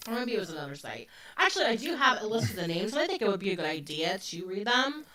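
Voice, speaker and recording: A woman in her forties talks through a true-crime case in a loose, chatty way with plenty of fillers. Her voice is bright and forward, though she sits a little back from the microphone, so it sounds slightly distant. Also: medium pitch, big pitch swings, fast talker. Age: 40s. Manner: loose and conversational, with frequent fillers. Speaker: podcast co-host. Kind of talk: casual true-crime chat. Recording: voice a bit distant from the mic.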